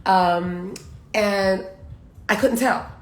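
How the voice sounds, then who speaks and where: A woman's voice: mid-range voice, very slow, a little hiss or hum. A woman hosting a casual live chat in a playful, exuberant way. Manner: exuberant, playful, sing-song greetings. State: says she lost her voice that morning. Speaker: live show host. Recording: roomy echo, faint music.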